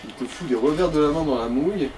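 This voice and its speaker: A man chats along casually as a gaming co-commentator, explaining things and riffing. His voice is slightly high and sits a bit back from the mic, with some background noise. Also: fast, far from the mic.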